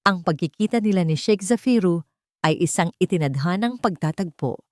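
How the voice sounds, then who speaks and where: A woman narrates a scripted romance story. Her voice sits fairly low, and she phrases dramatically and deliberately, pausing between short phrases. The sound is dry, with little room to it. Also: expressive, dry recording.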